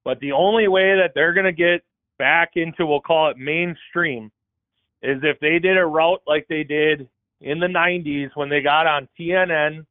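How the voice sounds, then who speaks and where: Caller guy on a thin phone line, dry sound, kinda higher voice, walks through his reasoning. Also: steady tone, moderate pace, clean tone, no echo. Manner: reasoned, lays out his argument step by step. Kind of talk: casual call-in chat.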